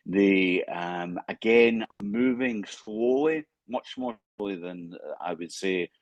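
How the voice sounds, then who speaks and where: Scottish man, very low voice, dry close sound, halting panel remarks with many ums. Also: no echo, dull audio. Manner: halting, frequent fillers and restarts.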